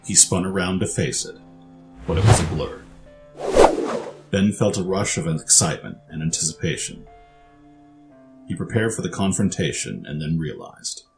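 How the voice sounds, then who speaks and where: A man narrates a dramatic story in a very low-pitched voice. Faint music plays beneath his scripted telling. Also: expressive, moderate pace, vocal fry, pauses often.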